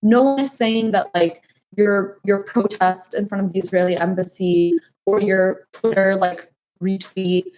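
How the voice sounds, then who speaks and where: A woman's voice: mid-range voice, fairly even intonation, unhurried, darkish tone, a little hiss or hum, poor audio. A woman in her twenties from New Hampshire, speaking earnestly as a podcast guest, with many fillers like "like" and "um". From New Hampshire, United States. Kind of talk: interview answers.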